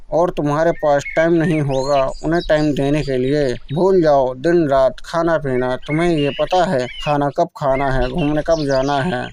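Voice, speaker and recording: A man's voice: on the high side, a little mellow, slight background noise, natural voice. A man voicing a wise, fatherly cartoon parrot who gives earnest, preachy motivational advice.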